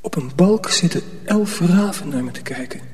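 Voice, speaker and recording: A man's voice: on the high side, expressive, normal speed, darkish tone, lightly acted. An actor playing a curious, headstrong, skeptical teenage boy in a radio drama. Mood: uneasy, hushed. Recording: reverberant, faint background noise.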